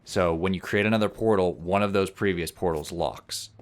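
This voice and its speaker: A man, a little hoarse, casually commentating a video game and explaining puzzles step by step with jokes. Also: low voice, normal speed. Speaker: let's play commentator.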